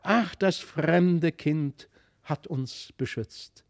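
A man voicing an excitable, yearning young child in a storybook reading, exclaiming in a drawn-out, pleading way. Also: a little high, pitched above the performer's own voice. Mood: amazed, joyful. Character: excitable, yearning little boy and his sister calling out.

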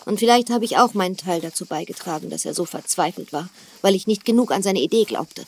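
Young widowed lady of a manor, frank and self-assured, lowish voice, reverberant radio drama with music female actor. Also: fast, a little mellow, lightly acted. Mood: regretful. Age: young adult.

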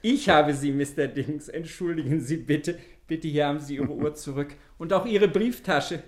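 Man playing a timid, high-voiced little crook. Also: normal speed, lightly acted. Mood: polite, wily. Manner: stammering, over-polite, hesitant. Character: small timid superstitious crook, meek but wily. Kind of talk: 1950s German radio satire acting.

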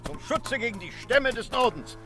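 A man acting in a German radio drama as an irascible, blunt British colonel in colonial India, gruff and indignant. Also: very high-pitched, animated, moderate pace, music in the background. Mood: opinionated.

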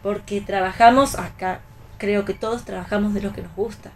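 A woman's voice: mid-range voice, steady tone, moderate pace, crowd noise. An Argentine woman talking casually as a panelist in a radio round-table discussion.

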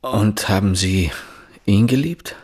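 An actor in a German radio drama playing a brooding, probing police chief inspector with a terse, controlled, formal manner. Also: male voice, lowish voice, slow pace, a little mellow, breathy edge.

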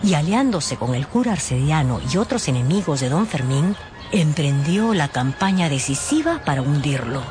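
A woman's voice: sits low, expressive, moderate pace, music in the background. A woman narrating a dramatized radio audiobook expressively, with conversational asides.

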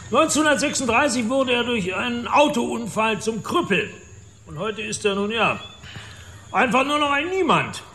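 An actor in a German radio drama playing an older, boastful, domineering self-made property developer who talks in a gruff, swaggering way. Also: male voice, high voice, pushed and bright, slight background noise, exaggerated voice. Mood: dismissive. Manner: gruff, swaggering, coarse storytelling.

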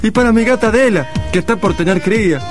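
Male actor as young Argentine stage musician, grateful showman, high-pitched, speaking over music. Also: fast, natural voice. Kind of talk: stage announcement in radio play. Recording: music behind the voice.

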